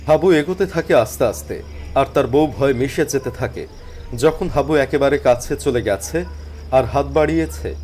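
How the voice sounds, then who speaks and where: Lively male horror story narrator. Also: medium pitch, a little hiss or hum.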